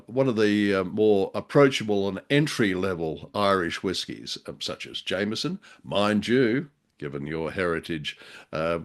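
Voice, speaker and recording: Low-pitched man chatting, jovial and rambling. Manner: hesitant, rambling, jovial, with playful mock-dramatic asides. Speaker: podcast co-host. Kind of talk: casual chat reviewing a radio drama.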